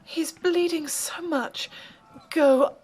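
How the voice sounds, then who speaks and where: A woman playing an excitable, anxious young sister sidekick in an audio drama, with a squeaky, alarmed delivery. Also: drawn-out words. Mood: worried. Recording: faintly noisy home recording with clicks.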